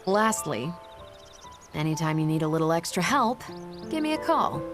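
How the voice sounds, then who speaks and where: A woman's voice: deep voice, sing-song, moderate pace, soft background music. An actress playing a confident, easygoing female ace pilot in a sci-fi video game. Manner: self-assured, easygoing. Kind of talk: video game cutscene acting.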